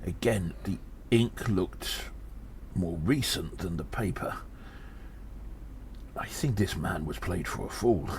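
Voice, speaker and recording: A man playing a weary gnome investigator in an audio drama, musing haltingly and deliberately with breathy sighs. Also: sits low, darkish tone. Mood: grave, pondering. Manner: slow, deliberate, halting, pondering. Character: weary gnome investigator reporting on a spy conspiracy. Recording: faint background noise.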